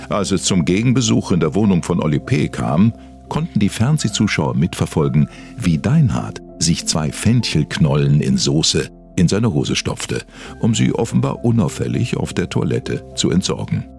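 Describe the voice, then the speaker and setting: A man with a very deep voice narrates an investigative documentary. His scripted delivery moves up and down with plenty of melody, and music plays underneath. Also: big pitch swings, moderate pace, gravelly, a little mellow. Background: background music.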